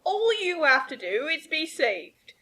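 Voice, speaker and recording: A woman voicing a proud, beaming male giant who preaches with slow, solemn proclamations. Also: high voice, big pitch swings, pushed and bright, full character voice. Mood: earnest urging. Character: proud, beaming evangelist giant groundskeeper, a man.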